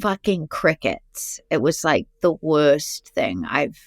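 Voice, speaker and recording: A woman from New Zealand with a New Zealand accent, telling stories as an actress guest in a casual interview, lively and swearing casually. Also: sits low, takes it slowly, creaky.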